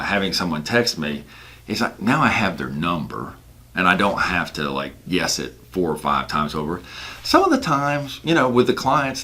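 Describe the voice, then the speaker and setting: A man's voice: deep voice, fairly bright tone. A man working as a real estate broker, explaining his work in a casual, emphatic, conversational way. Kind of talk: casual explanatory talk about own work.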